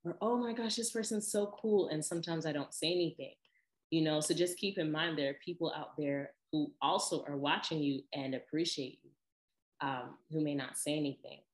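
A young woman in her twenties with a strikingly deep, low voice tells personal stories in a casual vlog. She talks in a chatty, expressive way and laughs easily between asides. The sound has a noticeable echo, as if recorded in a bare room. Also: moderate pace. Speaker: vlogger. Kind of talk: casual personal storytelling to camera.

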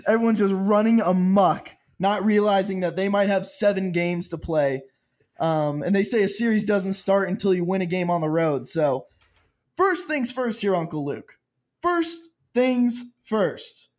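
A man hosting a sports podcast, playful and teasing, who rattles off sponsor plugs quickly. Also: high voice. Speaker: podcast host. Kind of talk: casual sports podcast chat.

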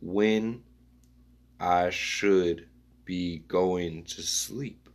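A man musing aloud in a rambling spoken-word style, reading his own notes in halting fragments. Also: low-pitched, steady tone.

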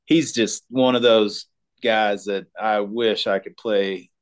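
A man from Colorado talks about his music as a guest, relaxed and unhurried. His speech often stalls on ums and restarts. His voice sits fairly low. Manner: laid-back, halting, many ums. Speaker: guest singer-songwriter. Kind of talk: casual interview chat.

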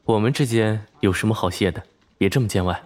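A man's voice: on the low side, fairly quick, a little mellow, close to an everyday voice. An actor playing a confident, devoted young male office colleague and suitor in a romantic audio drama. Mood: easygoing. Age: young adult. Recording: plucked guitar music behind the voice.

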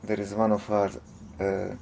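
Gravelly man, hesitant. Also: on the low side, monotone, a little slow, dark tone, a little hiss or hum. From Croatia. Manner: hesitant, many fillers and restarts. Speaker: interview guest, monk. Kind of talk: casual interview talk.

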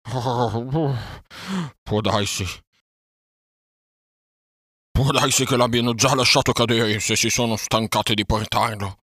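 A man's voice: mid-range voice, slow, pauses often, a bit bright, no echo, studio quality, voice pushed up. A man voicing an anxious, remorseful old bear in a storybook, huffing and lamenting in agitation. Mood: agitated, despairing.

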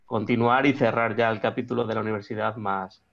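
A man's voice: lowish voice, steady tone, brisk, creaky, fairly bright tone. Man, Extremadura Spanish accent, 30s, hesitant guest, lots of fillers, muffled lo-fi audio. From Extremadura, Spain. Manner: hesitant, many restarts and fillers. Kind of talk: remote interview conversation.

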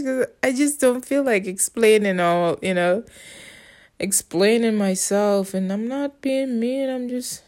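A woman's voice: medium pitch, darkish tone. A woman from the Bahamas with a Bahamian accent, rambling in a casual monologue, blunt and profane, with an exasperated edge. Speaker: vlogger.

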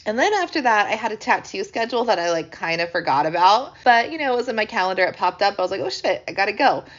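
Woman podcast host in her thirties, hoarse from a cold, chatty and upbeat, mid pitch, slightly muffled home recording. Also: quick pace. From American Midwest. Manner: chatty, animated, laughs easily. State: hoarse from a sinus infection. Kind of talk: casual chat.

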